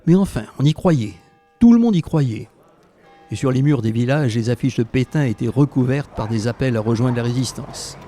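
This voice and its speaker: An actor playing a reflective former resistance fighter recounting wartime memories in literary, scripted narration. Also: male voice, medium pitch, expressive, moderate pace, music in the background, close to an everyday voice. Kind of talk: scripted narration in an audio drama.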